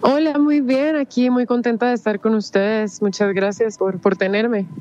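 A woman's voice: on the high side, steady tone, normal speed, warm tone. A young woman from Costa Rica, a singer talking on a phone line in a casual interview, chatty and giggly with lots of fillers. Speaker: singer, guest.

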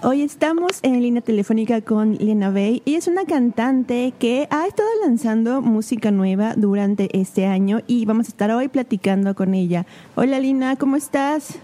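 High, mellow-voiced woman host. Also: normal speed, hardly any pauses, soft background music. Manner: friendly, casual questions. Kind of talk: radio interview hosting.

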